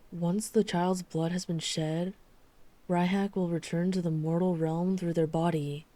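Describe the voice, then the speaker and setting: A dry-witted vampire hunter played by a woman from an urban fantasy audio roleplay. Her voice is very low for a woman and softly dark. She tells things deliberately, like someone guarded who is confiding in a friend. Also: no room sound, lightly acted. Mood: alarmed, urgent. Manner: measured, deliberate storytelling with dry asides.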